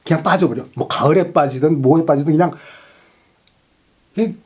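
Man, middle-aged, mellow and raspy tone, casual music talk, effusive, emphatic repetition. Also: a little high, normal speed. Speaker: podcast co-host.

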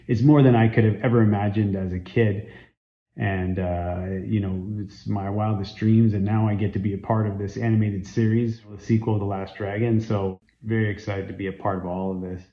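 Canadian guy, 40s, low voice, eager rambling into his phone, echoey room, kinda dull audio. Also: steady tone, moderate pace. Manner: earnest and enthusiastic, with frequent ums and uhs. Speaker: fan site founder and interviewee. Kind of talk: casual interview talk to camera. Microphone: phone camera at arm's length.

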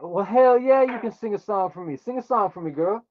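Crude flirty man, slightly high, lo-fi. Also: brisk, slight background noise. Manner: crude, effusive, slangy. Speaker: live streamer making a prank call. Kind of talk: flirtatious casual banter.

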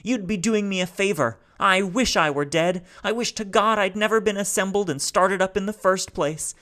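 A man voicing an old male alien machine creature, rasping and anguished as he pleads to be killed. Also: sits high, normal speed, sharp tone, pitched above the performer's own voice. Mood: despairing plea.

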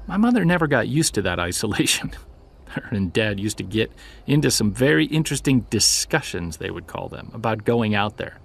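A man's voice: on the low side, very animated, a little fast, gravelly, full character voice. A man acting as a witness who recounts an unsettling childhood memory in a hesitant, conversational audio drama style. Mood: wistful, wry. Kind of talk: naturalistic audio drama storytelling.

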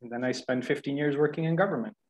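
Guest, forties, from Spain, self-deprecating and easygoing, mid-pitch, video call audio. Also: male voice, quick pace, darkish tone. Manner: laughs often, self-deprecating. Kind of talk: casual storytelling. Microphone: headset on video call.

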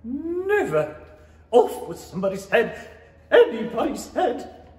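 A man playing an imperious, bloodthirsty storybook queen in a 1930s-style radio play, commanding and drawn-out. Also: extremely high pitch, huge pitch swings, darkish tone, full character voice. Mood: imperious, furious. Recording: roomy, echoing stage pickup.

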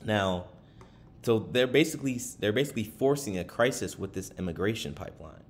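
A man's voice: on the low side, expressive, a little fast. A man giving casual commentary over his screen, rambling with many fillers and restarts and reading passages aloud from a web page.

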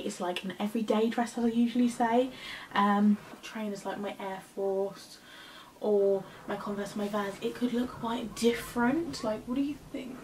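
A woman's voice: medium pitch, a little mellow, a little hiss or hum, rough recording. A young woman chatting frankly to camera in her bedroom about a dress she is trying on, filler-heavy and casual. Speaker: fashion vlogger. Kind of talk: casual try-on chat to camera. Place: small bedroom at home. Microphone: camera mic at arm's length.

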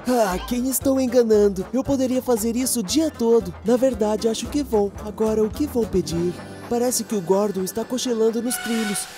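A woman's voice: a little high, moderate pace, few pauses, over music, natural voice. A woman with a Brazilian accent voicing a cheerful, helpful young boy steam engine in a children's cartoon dub, eager and friendly. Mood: playful.

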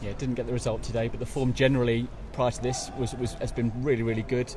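Fast-talking male reporter, noisy stadium. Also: mid-range voice, little pitch movement. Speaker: sports reporter. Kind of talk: post-match interview questions. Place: large covered football stand. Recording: clear stadium background noise.

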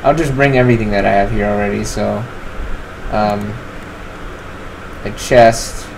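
Young man, gaming commentary, slow unhurried talk, lowish voice, rambling with fillers, air-conditioner hum behind. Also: gravelly. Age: 20s. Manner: rambling, filler-heavy, relaxed. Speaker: gaming channel host. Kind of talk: casual gameplay commentary.

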